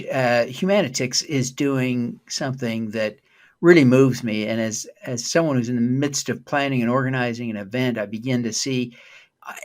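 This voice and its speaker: A male show host who introduces his guest and asks reflective questions with enthusiasm and frequent fillers. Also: medium pitch. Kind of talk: podcast interview host.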